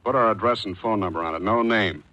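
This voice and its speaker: Bright, hard-boiled radio private eye. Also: male voice, fairly low pitch, quick pace, no echo, muffled recording. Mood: brusque, wry. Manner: clipped, terse questioning. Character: wisecracking hard-boiled private detective, brusque and cynical. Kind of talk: 1940s radio detective acting with first-person narration. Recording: 1940s radio drama with music.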